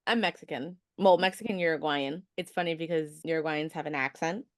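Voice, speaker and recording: Low-voiced woman co-host, dry sound. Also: brisk, no room sound. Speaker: podcast co-host. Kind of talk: casual podcast chat.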